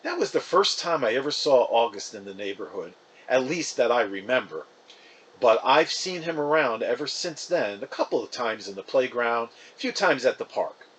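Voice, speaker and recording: Guy narrating a kids novel, first person, husky voice, really animated up and down. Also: medium pitch, moderate pace, rough recording. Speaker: local actor reading a children's book.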